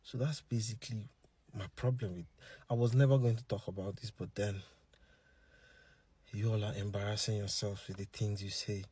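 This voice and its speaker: Husky, mellow African man, scolding. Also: fairly low pitch, fairly even intonation, normal speed, long pauses. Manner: scolding, emphatic. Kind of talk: casual opinion talk.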